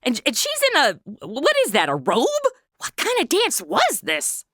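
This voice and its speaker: A woman's voice: high-pitched, huge pitch swings, normal speed, fairly bright tone, no room sound, studio quality, heavily acted. A woman playing a flippant, sceptical modern trainee angel in a comedy audio drama, blunt and sarcastic. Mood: baffled, sceptical. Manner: blunt, snarky.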